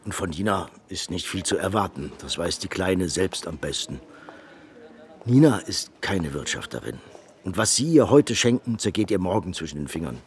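An actor playing a glib, scheming Venetian go-between in a German radio play, talking in a chatty, insinuating and persuasive way. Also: male voice, low voice, lively intonation, normal speed. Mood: chatty, boastful.

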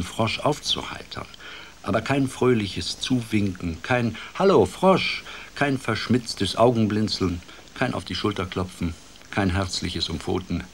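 Ein Mann, der als Großvater ausdrucksvoll eine Kindergeschichte in einem Hörspiel erzählt. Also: male voice, low-pitched, a little hiss or hum. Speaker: storyteller playing a grandfather. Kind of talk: expressive scripted children's storytelling.

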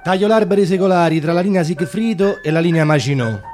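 Actor as bitter Roman deported labourer, higher male voice. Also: fast talker, darkish tone, soft background music, natural voice. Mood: grim, bitter. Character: bitter deported Italian forced labourer, disillusioned. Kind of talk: radio drama acting, first-person monologue.

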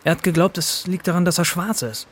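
A man's voice: high voice, fairly quick, a little hiss or hum, lightly acted. An actor playing a sardonic, streetwise bike courier narrating his job in a radio drama. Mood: wry. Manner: colloquial, sardonic. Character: wry, streetwise male bike courier telling his story. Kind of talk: dramatic first-person narration.

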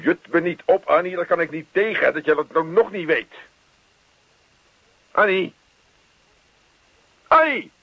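An actor playing a querulous, stammering, put-upon husband in a radio comedy play. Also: male voice, high-pitched, measured pace, long pauses, no room sound. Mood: peevish. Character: whining, put-upon husband fuming about his borrowing neighbour. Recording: muffled old radio play recording.

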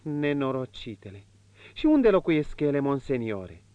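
A man's voice: mid-range voice, big pitch swings, mellow, clean tone, dry recording, voice pushed up, lightly acted. A man voicing an emotional young noblewoman in a dull lo-fi audiobook reading. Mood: eager.

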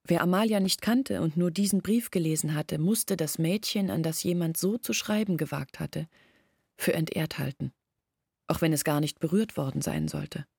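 An actress in a German radio play playing an earnest village woman who recounts events in a measured, literary way. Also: low-pitched, gravelly, a little mellow, breathy edge, dry recording, studio quality, full character voice.

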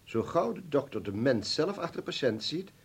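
A political comrade in a radio drama, firm and argumentative. His voice is husky and fairly high for a man, with lively movement. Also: normal speed. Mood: firm, persuasive. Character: male political comrade, arguing firmly with the professor. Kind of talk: radio play acting.

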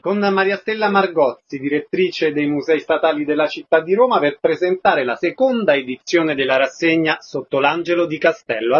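A man's voice: fairly high pitch, slight background noise. A man hosting a radio interview, reading out questions and announcements. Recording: slightly dull, lo-fi sound.

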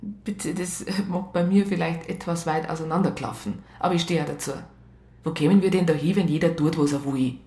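An actress playing a strict police psychologist who narrates a radio crime drama, matter-of-fact. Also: female voice, sits low, fairly even intonation, a little fast, warm tone. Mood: stern, formal. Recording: roomy radio play studio sound.

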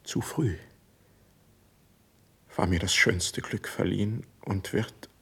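Proud hurt young noble, low and creaky, solemn verse, radio play. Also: male voice, fairly bright tone, exaggerated voice. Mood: bitter, grieving resignation. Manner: solemn, declamatory, drawn-out emphatic phrasing. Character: proud, deeply wounded young nobleman resigned to arrest. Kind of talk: classical verse stage acting for radio.

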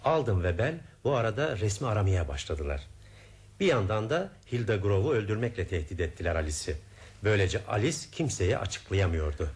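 Low, lively-pitched male radio detective. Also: fairly quick. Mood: explaining gravely. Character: composed, methodical male detective explaining a murder case. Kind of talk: Turkish radio theatre acting.

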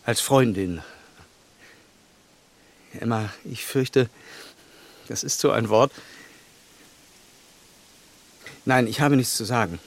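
An actor playing an earnest, plain-spoken, lovestruck English gentleman in a German radio play, speaking haltingly and tenderly. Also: mid-range voice, vocal fry. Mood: hesitant, pained.

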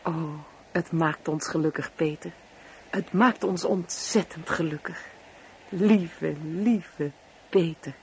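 A woman's voice: deep voice, slow pace. Radio drama: an affectionate married woman, tender and anxious to please, slight noise on the recording. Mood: tender, pleading. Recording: radio play with faint background noise.

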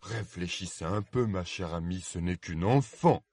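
A man's voice: sits low, a little slow, a little mellow, breathy edge, dry recording, clean studio sound, exaggerated voice. A man voicing a meek storybook king who murmurs timidly and placatingly. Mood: timid, pleading. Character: meek, gentle, timid playing-card King, pleading husband.

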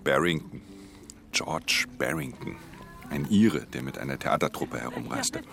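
An actor playing a world-weary, guarded pickpocket in a radio play, speaking and narrating in a laconic, restrained way. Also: male voice, rumbling low, measured pace, creaky, background noise, exaggerated voice. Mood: matter-of-fact, resigned. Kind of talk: radio drama dialogue and introspective first-person narration.

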